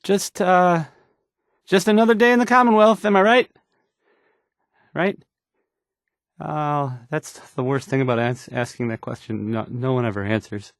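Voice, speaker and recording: A man's voice: on the high side, a little slow, long pauses, dry recording, clean studio sound. An actor playing a shy, awkward young radio DJ in a game, stammering and trailing off as he hesitantly reads the news. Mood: flustered, nervous.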